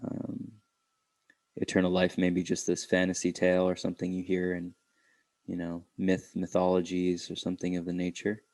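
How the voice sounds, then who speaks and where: A man leading an unscripted guided meditation, speaking reflectively in halting phrases with pauses. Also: low voice, monotone, gravelly, a little mellow, no room sound.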